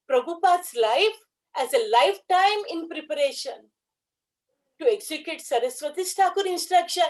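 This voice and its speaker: A woman giving an earnest devotional tribute talk, speaking in short phrases with pauses. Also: sits high, rough recording.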